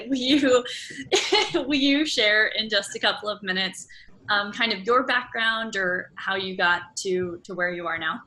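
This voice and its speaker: A woman's voice: mid-range voice, sharp tone. A woman interviewer talking in a casual, friendly and effusive way as she hosts a conversation. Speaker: interviewer, host. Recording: noticeable background noise.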